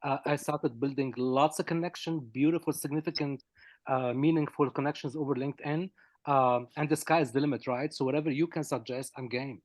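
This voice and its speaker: A man's voice: medium pitch, steady tone, no echo. Earnest arabic-accented guy on a video call, dry close sound, mid voice. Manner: earnest, gracious, halting restarts. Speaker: physician, interview guest. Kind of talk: casual video-call interview.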